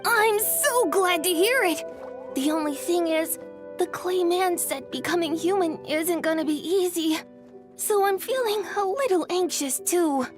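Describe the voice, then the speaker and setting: Tiny, very high-pitched little dragon. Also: female voice, lively intonation, a little slow, over music. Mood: anxious. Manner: earnest, innocent, childlike. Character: small, eager, shy young dragon child. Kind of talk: video game character acting.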